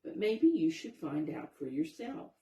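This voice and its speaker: A firm, distrustful storybook mother wolf voiced by a woman speaks slowly and sternly. The pitch swings for emphasis in a roomy, echoing space. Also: very animated, warm tone. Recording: roomy, echoey sound.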